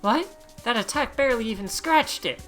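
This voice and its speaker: A man's voice: very high voice, expressive, moderate pace, bright, forceful tone, soft background music. A man hosting a let's play, giving casual commentary and thinking aloud as he plays. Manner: thinks aloud while playing.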